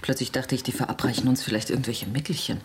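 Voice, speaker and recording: A candid woman traveller in a radio play, low-pitched with a creaky edge. She is sceptical and impulsive, musing aloud in everyday speech over a faint hiss. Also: fairly even intonation, fast. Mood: confiding. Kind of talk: radio drama acting.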